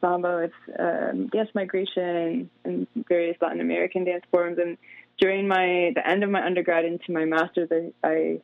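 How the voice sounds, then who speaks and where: A woman from Canada, a dancer and doctoral student, answering interview questions chattily and filling pauses with "you know" and "like.". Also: fairly low pitch, level tone, vocal fry, no echo. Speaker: guest, dancer and PhD student. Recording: tinny phone-like line, dry.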